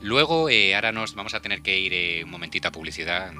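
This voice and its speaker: A man with a Spain accent hosts a radio chat casually. His voice is low and strikingly bright and forceful, with soft music underneath. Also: expressive, fast talker. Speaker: radio show host. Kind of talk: casual panel chat and hosting.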